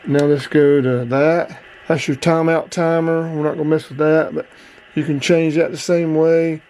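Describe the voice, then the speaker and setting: A man's voice: fairly high pitch, flat delivery, normal speed, a little mellow. A man hosting a casual hands-on product review in a plain-spoken, informal way.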